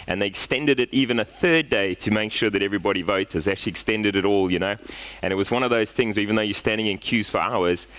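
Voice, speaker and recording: A man's voice: on the low side, a bit bright. A South African man in his forties giving a casual, storytelling talk at a conference, with lots of fillers and restarts. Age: 40s. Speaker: guest speaker.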